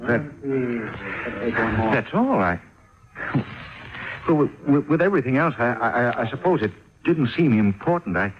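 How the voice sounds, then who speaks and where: A man's voice: medium pitch, sing-song, measured pace, long pauses. An actor playing a flustered, evasive male airline official, stammering and defensive, in an old-time radio drama. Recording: dull lo-fi old radio recording.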